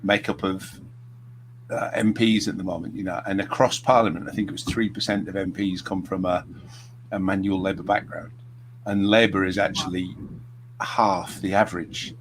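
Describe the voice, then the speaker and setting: A man's voice: sits low, steady tone, normal speed, poor audio. A man hosting a casual political chat, rambling with lots of "you know" fillers. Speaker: host. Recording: noticeable background noise.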